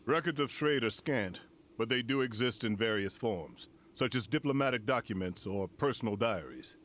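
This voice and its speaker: An actor playing a grave older general in a video game cutscene, speaking formally and with solemn, measured weight. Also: male voice, medium pitch, lively intonation, a bit bright, slight background noise, close to an everyday voice.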